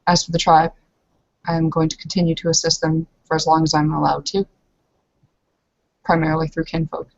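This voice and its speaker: A woman playing a guarded, resigned young married woman in a roleplaying game, speaking in a formal, measured, stiffly controlled way. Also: low voice, completely flat delivery, vocal fry, no echo, lo-fi sound. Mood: formal, resolute.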